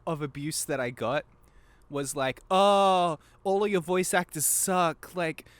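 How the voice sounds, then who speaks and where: A man's voice: fairly high pitch, takes it slowly, no room sound. A young man chatting casually as a podcast guest, giggly and self-deprecating. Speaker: podcast guest, amateur voice actor. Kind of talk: casual chat.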